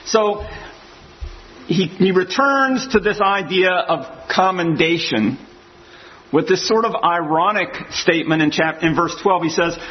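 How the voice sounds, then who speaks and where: A man's voice: high voice, a little slow, highs cut off. A man preaching an expository sermon. He reads scripture aloud and then explains it verse by verse.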